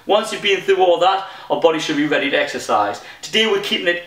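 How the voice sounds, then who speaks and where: A young man with a thick Geordie accent, coaching a home workout from his front room, talking fast and restarting sentences when he gets excited. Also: high voice. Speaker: fitness coach. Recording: echoey room, voice from far off.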